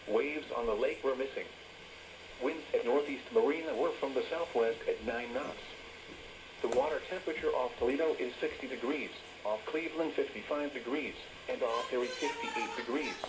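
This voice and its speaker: Staticky weather radio, robotic american guy reading forecasts, measured, mid pitch. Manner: flat, measured synthetic delivery. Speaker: automated weather radio announcer. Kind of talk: scripted weather bulletin reading. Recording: noisy weather radio broadcast, strong static.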